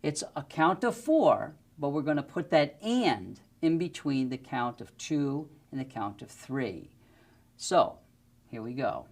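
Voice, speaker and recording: A man's voice: mid-range voice, sing-song, takes it slowly, creaky. A middle-aged man giving a methodical, step-by-step music lesson to the camera, speaking into a lapel mic in a studio. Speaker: music teacher. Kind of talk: instructional demonstration to camera. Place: indoor studio with plain backdrop.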